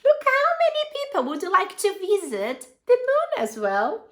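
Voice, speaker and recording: High-pitched, animated woman reading to children. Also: unhurried, echoey room. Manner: expressive, addressing young listeners with prompting questions. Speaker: storyteller reading a picture book to children. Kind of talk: animated children's read-aloud with asides and questions.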